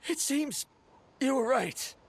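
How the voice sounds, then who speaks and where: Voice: high voice, animated, takes it slowly, very noisy. An actor playing a proud, stubborn scholar in a video game, formal and awkwardly hesitant. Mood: contrite, hesitant.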